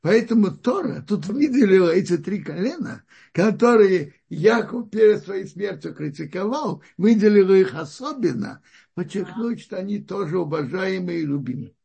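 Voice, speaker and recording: A man giving a religious lesson on scripture, explaining slowly and often stopping to restart his sentences as he searches for words. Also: sits high, expressive, creaky, warm tone, slightly rough. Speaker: Torah teacher.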